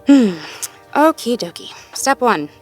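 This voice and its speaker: A woman playing a supportive, playful girlfriend in an intimate one-sided roleplay audio, talking gently and encouragingly with light teasing and natural hesitations. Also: a little high, lively intonation, slow pace, faint music behind. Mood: playful, amused. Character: supportive, playful girlfriend helping partner problem-solve.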